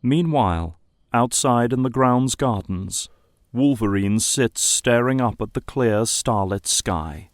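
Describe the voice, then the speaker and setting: A man's voice: medium pitch, expressive, slow pace, no room sound, clean studio sound. A man reading scripted narration for an audio drama.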